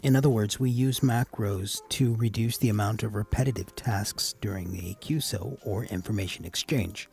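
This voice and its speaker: A man with a gravelly, husky voice giving a casual, explanatory tutorial voice-over as the host of a hobby channel. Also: lowish voice, moderate pace, few pauses, a little mellow, faint music behind. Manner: casual, addresses viewers as guys.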